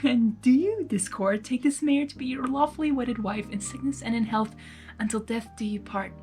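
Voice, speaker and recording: A woman's voice: fairly high pitch, normal speed, darkish tone, lightly acted. A woman voicing a solemn, regal female alicorn pony in a formal, ceremonious manner, in a story reading. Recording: music behind the voice.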